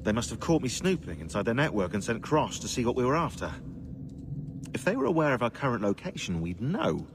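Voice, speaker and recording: An actor playing a dry, fussy, knowing researcher in a game, articulate and wry. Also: male voice, medium pitch, very animated, a little fast, background noise. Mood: wry, explanatory. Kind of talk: video game cutscene acting.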